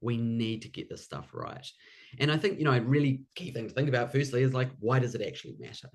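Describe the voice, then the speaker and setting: A man's voice: medium pitch, animated, fast talker, vocal fry. A man with a New Zealand accent hosting a live online workshop as a business coach in an encouraging way.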